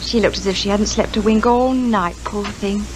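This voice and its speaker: An actress playing a sympathetic, chatty and respectful hotel maid with a British accent in a 1950s radio drama. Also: female voice, medium pitch, steady tone, normal speed, lightly acted. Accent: British English. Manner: deferential, homely phrasing. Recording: noisy 1950s radio recording.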